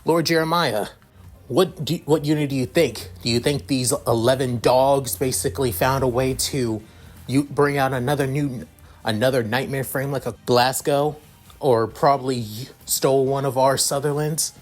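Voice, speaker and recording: A man voicing a smug, annoying young male soldier with excitable, shrill outbursts. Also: moderate pace, lightly acted.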